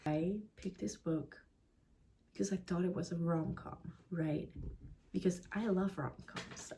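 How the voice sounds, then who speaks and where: A woman's voice: mid-range voice, mellow, slight background noise. A young Dutch woman giving a casual, blunt book review in English with a Dutch accent. She swears freely and often drops into a whisper. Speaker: book vlogger.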